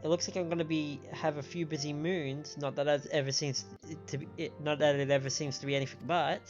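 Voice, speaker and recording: Androgynous streamer voice, middle pitch, reading game dialogue aloud, stumbling over words, dull lo-fi mic, music behind. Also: level tone, brisk. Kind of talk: live game stream commentary and read-aloud. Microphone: microphone on a stand.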